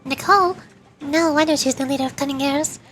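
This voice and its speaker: A woman's voice: high-pitched, fairly quick, slight background noise. A woman voicing a perky, scheming young cat girl mercenary, chatty and cajoling, reading game dialogue. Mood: reflective. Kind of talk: video game dialogue read aloud. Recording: loud music behind the voice. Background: game music.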